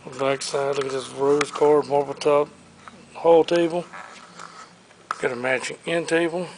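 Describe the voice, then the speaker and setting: A man with a slightly high voice walks a big warehouse showroom, rattling off furniture and collectibles in an upbeat salesman's patter. He is recorded on the camera he carries, with a faint hum of the space behind him. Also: little pitch movement. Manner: listing items briskly, upbeat salesman patter. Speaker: auctioneer. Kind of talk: walkthrough preview of auction items.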